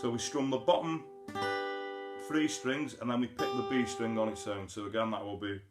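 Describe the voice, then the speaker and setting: A man's voice: medium pitch, steady tone, normal speed. A man giving a casual, chatty guitar lesson, explaining things informally step by step. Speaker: guitar teacher, host of an online lesson series. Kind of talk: casual spoken guitar tutorial. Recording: roomy sound, guitar behind the voice. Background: acoustic guitar.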